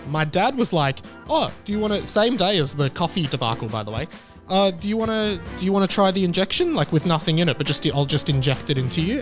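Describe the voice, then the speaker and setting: A young Australian man hosting a solo podcast, telling family stories with lively acted-out dialogue. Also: fairly high pitch. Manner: animated storytelling, acts out family dialogue. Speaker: podcast host.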